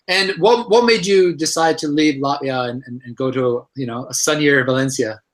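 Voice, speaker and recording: A man's voice: medium pitch, normal speed. A man hosting an interview show, asking questions and reacting with eager interjections. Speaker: host. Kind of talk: interview host.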